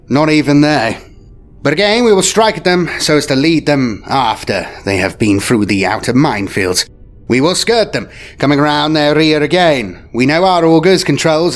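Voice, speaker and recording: A man acts out a commanding male admiral prince of a space navy, shrewd and battle-seasoned. The voice swings widely in pitch, with clipped declamatory phrases and weighty pauses, over music in a science fiction audio story. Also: close to an everyday voice. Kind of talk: dramatic audio story character. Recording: music behind the voice.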